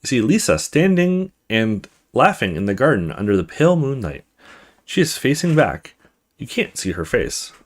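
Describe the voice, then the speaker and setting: Animated man narrating a horror visual novel. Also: mid-range voice, moderate pace. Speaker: let's play host. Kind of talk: reading a visual novel aloud with offhand commentary.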